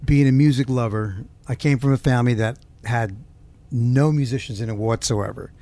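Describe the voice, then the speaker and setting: A man from Southern California talks loosely in an interview, with a gravelly, creaky edge to his mid-pitched voice. He wanders and hunts for his words, speaking close to a handheld mic. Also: normal speed, warm tone, no echo. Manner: rambling, searching for words. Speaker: guest musician. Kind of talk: casual interview. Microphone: handheld mic held close.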